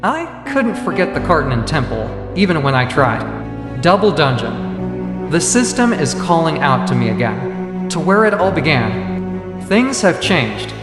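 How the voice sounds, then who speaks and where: An actor playing a cool, self-assured young hunter hero, terse and brooding, in an anime-style dub. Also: male voice, on the high side, big pitch swings, measured pace, over loud music. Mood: brooding resolve.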